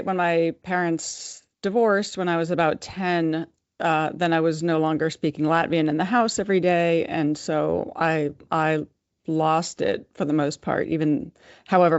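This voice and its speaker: A woman's voice: low-pitched, fairly even intonation, a little slow, smooth, no room sound, lo-fi sound. A woman from the United States, a podcast guest, speaking thoughtfully and restarting her sentences now and then. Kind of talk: podcast interview.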